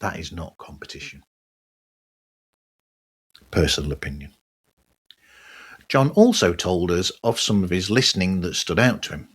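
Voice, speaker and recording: A middle-aged man giving a conversational solo podcast monologue in measured, halting short phrases. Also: sits low, very animated, breathy edge. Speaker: podcast host.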